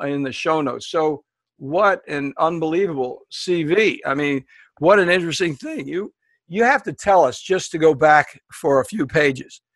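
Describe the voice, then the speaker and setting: A podcast host reading a guest introduction with enthusiasm, talking to listeners as folks. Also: male voice, on the high side, bright, forceful tone, dry recording.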